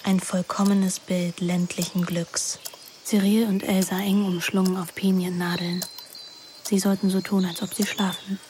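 An actress playing an introspective young woman narrating a literary radio play in a measured, languid manner. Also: medium pitch, little pitch movement, a little mellow, a little hiss or hum, lightly acted. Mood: tense, hurt. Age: young adult. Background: music.

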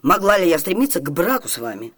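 Disguised noblewoman, slightly husky, earnest, self-possessed, formal stage diction, radio play. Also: fairly low pitch, very animated, fast. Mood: solemn pleading. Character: self-possessed young Italian noblewoman disguised as her dead brother. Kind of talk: Soviet radio theatre comedy acting.

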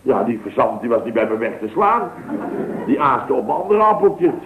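A man's voice: mid-range voice, very animated, moderate pace. A man telling a rambling, mock-pompous hunting anecdote with a dry delivery in a scripted radio comedy sketch. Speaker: comic storyteller. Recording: tinny hissy old radio broadcast, roomy.

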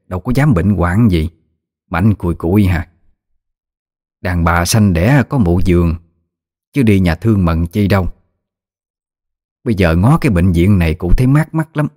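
A man's voice: deep voice, a little mellow. A man voicing a feisty old countrywoman, blunt and folksy, with a Southern Vietnamese accent, in a narrated story. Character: feisty old countrywoman, blunt, easily amazed, great-aunt. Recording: music behind the voice.

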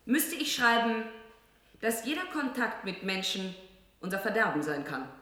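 A woman playing a grave, insistent press reporter in a 1970s radio drama, solemn and deliberate. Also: medium pitch, sing-song, bright, forceful tone. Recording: very echoey room.

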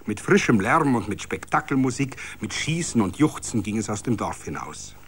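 A man's voice: on the low side, very animated, normal speed, breathy edge. A man narrating a literary story for radio.

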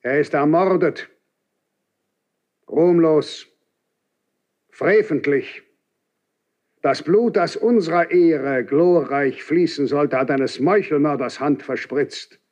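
An actor playing an aged, unyielding Grand Inquisitor in a classical German radio drama, speaking in a severe, measured, declamatory and reproachful way. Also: male voice, fairly high pitch, darkish tone. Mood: accusing. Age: older. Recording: dull 1970s radio drama recording.

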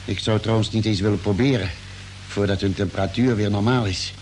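A man playing a calm, caring spaceship doctor with a measured, deliberate way of explaining, in a vintage Dutch radio drama. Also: lowish voice, natural voice. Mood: thoughtful, explaining. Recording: noisy old radio drama recording.

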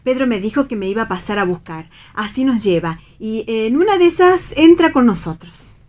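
A woman's voice: fairly high pitch, lively intonation, normal speed, a little mellow, close to an everyday voice. An actress playing a chatty, inquisitive and naive mother with old-fashioned, homely tastes in a radio play reading. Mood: chatty, curious. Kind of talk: radio read theatre dialogue.

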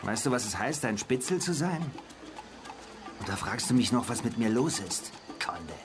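A man acting an edgy, streetwise police informer in a radio crime play. His mid-pitched male voice swings up and down, over clearly audible music. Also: big pitch swings, moderate pace, lightly acted. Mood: edgy, defensive. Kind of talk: radio crime drama dialogue. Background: music behind the voice.

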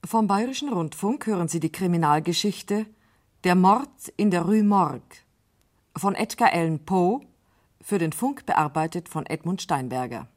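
A woman's voice: lowish voice, lively intonation, moderate pace. A woman giving a scripted announcement as a radio announcer.

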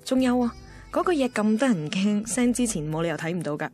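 A woman's voice: medium pitch, expressive, fast, darkish tone, lightly acted. An actress playing a blunt, teasing, know-it-all female friend in a radio drama, emphatic, with a Hong Kong Cantonese accent. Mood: knowing, warning. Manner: emphatic, mixes in English words. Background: music.

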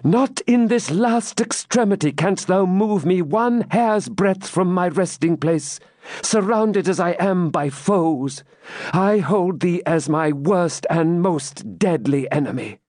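A resolute young woman spurns her tempter in solemn, scornful archaic speech, as a male narrator puts her on. The voice sits high and lively in a dry, close recording. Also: slow pace, no room sound, exaggerated voice. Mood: defiant.

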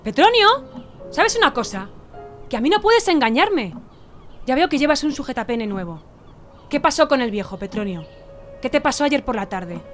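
A stern, prying mother, voiced by a woman, fires off questions in a high, scolding voice. Her pitch leaps and swoops, with faint music behind her. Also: very animated, normal speed, long pauses. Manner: scolding, interrogating. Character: stern nosy mother, female inspector.

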